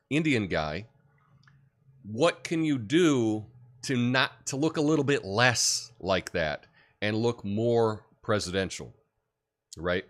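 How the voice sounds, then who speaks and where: A deep-voiced man hosting a livestream, chatting casually and reading viewer comments with lots of fillers. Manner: rambling, relaxed, many fillers and restarts. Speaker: livestream host.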